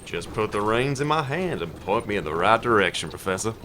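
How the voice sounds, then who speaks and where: A man's voice: lowish voice, animated, brisk, lightly acted. A man playing a bold, folksy adventurer in an audio drama. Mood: easygoing, bold. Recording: audible background noise.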